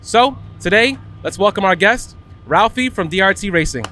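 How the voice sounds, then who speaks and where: A man's voice: high voice, expressive, normal speed, over music. A man hosting a podcast, chatting casually as he interviews, recorded on location at a car event. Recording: clear background noise of racing event. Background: vehicle and event noise.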